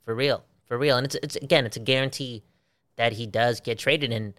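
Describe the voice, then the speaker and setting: A man co-hosting a casual sports chat, animated and swearing freely, repeating himself for emphasis. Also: mid-range voice, fairly quick, no echo, clean studio sound. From Belgium. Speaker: sports podcast co-host.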